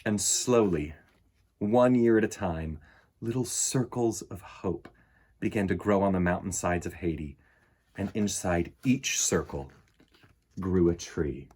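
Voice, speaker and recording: Middle-aged man, children's storyteller, husky voice, theatrical and varied delivery, addressing young listeners. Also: low voice, unhurried, a little mellow. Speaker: children's storytime host and reader. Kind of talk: expressive picture-book storytelling for children. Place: indoor room lined with bookshelves.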